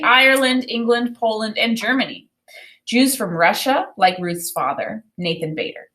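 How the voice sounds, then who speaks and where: A woman teacher reading a children's book aloud, with expressive, deliberate phrasing and explanatory asides. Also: fairly high pitch. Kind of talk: read-aloud of a children's book with think-aloud commentary.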